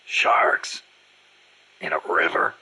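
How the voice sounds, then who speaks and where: An actor playing a gruff, battle-worn middle-aged soldier in a video game, terse and probing, with a gravelly, raspy growl. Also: male voice, very slow, sharp tone, exaggerated voice, low-quality recording. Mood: puzzled. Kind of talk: scripted video game voice acting.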